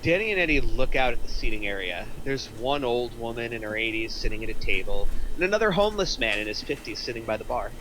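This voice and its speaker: Bright-voiced man reading stage directions. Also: mid-range voice, very animated, fast, few pauses, slight background noise, rough recording. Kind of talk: scripted narration at a screenplay table reading.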